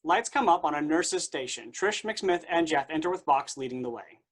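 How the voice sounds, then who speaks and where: A stage directions reader with a medium-pitched voice. The delivery is scripted narration for a play reading, setting out each action. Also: lively intonation, normal speed.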